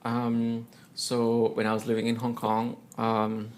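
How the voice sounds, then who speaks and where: A man's voice: mid-range voice, takes it slowly, smooth. A young Colombian man with a Spanish accent, a guest in an interview, talking casually and telling stories with many fillers. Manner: relaxed, storytelling, with frequent fillers and laughs. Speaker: guest, teacher and violinist.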